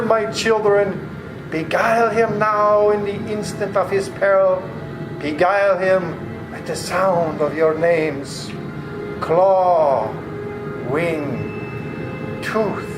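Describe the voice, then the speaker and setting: A man performs an ancient vampire count for a live radio play. The delivery is extremely slow and portentous, pitched high for a man, like a solemn incantation. The room echoes around the voice. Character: ancient male vampire count, commanding and lonely, summoning darkness. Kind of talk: old-time radio drama acting. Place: live performance in a public library. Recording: roomy live stage, music behind.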